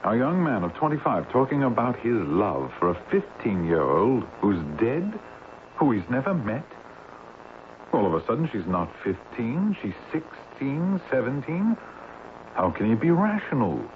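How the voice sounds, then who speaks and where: A man's voice: mid-range voice, very animated, measured pace. A worldly skeptical man talks bluntly and incredulously in a vintage radio play. His intonation swings widely, carried on a narrow, faintly hissing recording. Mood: scornful, alarmed. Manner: incredulous, scoffing, emphatic. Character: worldly, skeptical male friend, rational and blunt. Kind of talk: old-time radio drama acting. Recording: tinny, hissy old radio recording.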